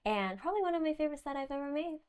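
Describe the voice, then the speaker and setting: A woman's voice: high voice, lively intonation, rapid-fire, darkish tone. A young woman from Arizona politely answering interview questions and addressing the host by name. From Arizona, United States. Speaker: pageant candidate, interviewee.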